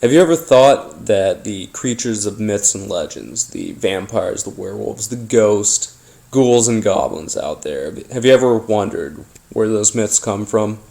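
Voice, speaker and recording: Slow, gravelly low-voiced man. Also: animated, dark tone. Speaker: podcast host. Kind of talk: informal first-person storytelling monologue, paranormal audio drama.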